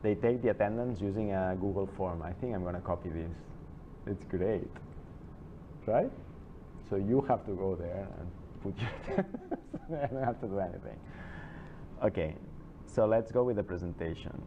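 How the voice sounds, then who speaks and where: A man giving an informal classroom lecture, chatty and digressive, with many halting restarts and asides. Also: on the low side, lively intonation, a little hiss or hum. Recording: dull lo-fi classroom recording.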